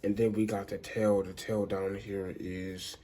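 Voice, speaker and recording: A man with a somewhat low voice, audibly congested and stuffy. He talks in a loose, unscripted way, often breaking off mid-sentence and starting again. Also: little pitch movement, dark tone, slight background noise. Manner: rambling, halting, restarts sentences often. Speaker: toy reviewer. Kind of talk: casual unscripted review.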